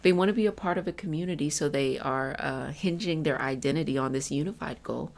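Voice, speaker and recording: Woman, fairly low pitch, crackly fry, ASMR host, soothing coaching talk, reassuring, conversational. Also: fairly quick. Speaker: ASMR host and energy healing practitioner. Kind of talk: ASMR self-help talk.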